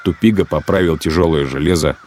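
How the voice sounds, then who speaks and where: A man narrating a literary radio drama in a measured, deliberate manner. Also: rumbling low, darkish tone, a little hiss or hum. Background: music.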